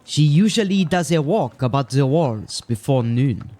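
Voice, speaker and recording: A man's voice: mid-range voice, expressive, a little slow, dark tone. A man playing a plain-spoken, deferential gatekeeper of a medieval town in an audio drama, musing in folksy turns of phrase. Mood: musing, casual.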